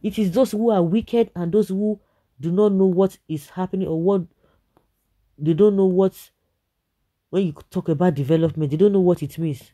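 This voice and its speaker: Nigerian commentator with a very dark, mellow voice. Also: mid-range voice, normal speed. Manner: exasperated, emphatic, repeats phrases for effect; slips between English and Nigerian Pidgin. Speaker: commentator reading and reacting to viewer comments. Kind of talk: informal opinionated news commentary.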